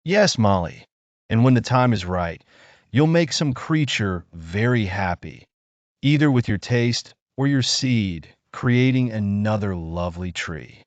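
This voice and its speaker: Friendly talking fruit, read by a low-voiced man, male, encouraging, kindly, for a kids' storybook. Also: animated, unhurried, no room sound, dull audio. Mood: reassuring. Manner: encouraging, reassuring.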